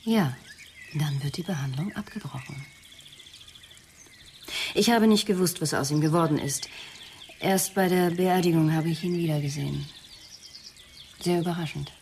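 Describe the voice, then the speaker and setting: A careful evasive woman therapist, really low creaky voice, radio crime play, bit of noise behind. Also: moderate pace, long pauses, darkish tone. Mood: uneasy, explaining. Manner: evasive, explanatory, precise. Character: guarded, professional married female psychotherapist under questioning. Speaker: actor in a radio crime drama. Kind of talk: German radio drama dialogue.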